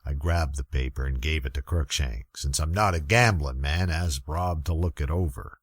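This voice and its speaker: A gruff, weary police lieutenant played by a man tells a hardboiled radio mystery. His voice is extremely deep and rough with husk, recorded close and dry. He grumbles along in a wry, folksy way. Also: normal speed, no echo. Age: middle-aged. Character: gruff, weary old-school male police lieutenant narrating hardboiled detective story. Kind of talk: old time radio murder mystery narration.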